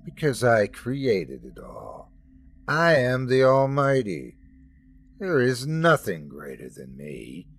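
A man voicing a wrathful, remorseless almighty male god who speaks in slow, emphatic, commanding declarations. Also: medium pitch, wildly swooping pitch, slightly rough, exaggerated voice. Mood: cold.

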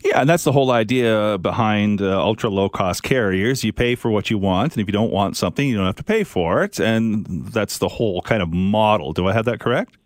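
A man's voice: on the low side, a little fast, dry recording. A man hosting a travel podcast, introducing a guest and asking questions in an upbeat, conversational way. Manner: upbeat, conversational, with some fillers. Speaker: podcast host.

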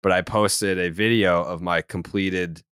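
A young man hosting a sports podcast, loud and teasing in casual chat. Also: sits low, fairly even intonation, unhurried, clean tone, no room sound, studio quality. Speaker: podcast host.

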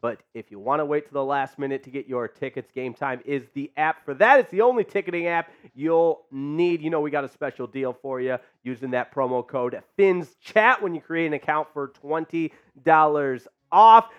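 A man's voice: a little high, expressive, normal speed, few pauses, clean tone, lo-fi sound. A man hosting a live sports chat show, upbeat and hyped, reading out viewer comments. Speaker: podcast host.

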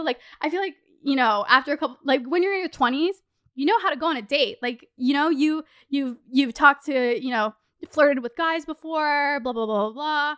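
A woman, telling a candid personal story in a chatty, animated, sweary way full of "like". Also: high-pitched, no echo, clean studio sound. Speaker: podcast host.

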